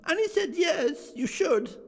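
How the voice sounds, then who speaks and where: A man's voice: very high voice, animated, voice pushed up, heavily acted. A gleeful little girl, as a man puts her on. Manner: breathless, rushing with glee. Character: gleeful little girl, excited young sister. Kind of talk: live reading of a classic tale. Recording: long hall reverb.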